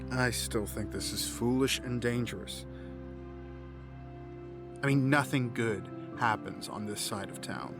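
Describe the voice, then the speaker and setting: A skeptical, well-off businessman played by a man in an audio drama, with a mid-pitched voice. He talks very slowly and carefully, a bit formal and doubtful, over clear background music. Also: lively intonation. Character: wealthy, cautious, skeptical businessman, fond but doubtful.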